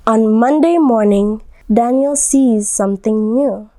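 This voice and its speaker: A woman's voice: a little high, takes it slowly, dark tone, a little hiss or hum. A woman reading a picture book aloud to young children, explaining simply and putting questions to the listeners. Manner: simple, instructive phrasing with frequent questions. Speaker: volunteer children's book reader.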